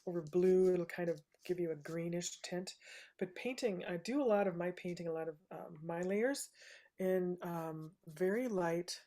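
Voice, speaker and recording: A woman with a soothing, low voice gently walking through a live painting demonstration, often checking in with "right?". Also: moderate pace. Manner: soft-spoken, gentle, says "right?" often. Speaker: painting instructor.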